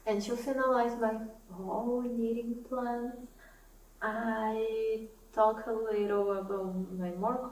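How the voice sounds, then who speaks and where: A young woman speaks English, slowly and thoughtfully, in an easy vlog chat. She is recorded from a little way off the mic, in an echoey room with a faint hiss underneath. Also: fairly high pitch, far from the mic. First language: Portuguese. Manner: soft-spoken, thoughtful, laughs often. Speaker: knitting vlogger. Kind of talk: casual chat to camera.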